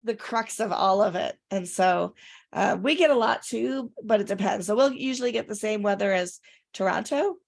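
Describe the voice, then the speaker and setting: A woman co-hosting a casual chat, her voice raspy and congested from recent illness, talking in a rambling way with fillers. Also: mid-range voice, normal speed. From Rochester area, upstate New York. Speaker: podcast co-host.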